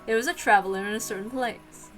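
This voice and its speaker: A woman's voice: on the high side, fast talker, fairly bright tone, soft background music, lightly acted, rough recording. A woman voicing a cheerful, encouraging aunt in a visual novel read-aloud, playful and reassuring.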